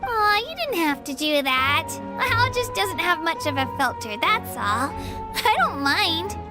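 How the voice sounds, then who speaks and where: A woman voicing a shy, good-natured young girl warrior in a game, soft and modest. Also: very high voice, big pitch swings, unhurried, exaggerated voice. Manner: soft, modest, a bit bashful. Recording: game audio under music.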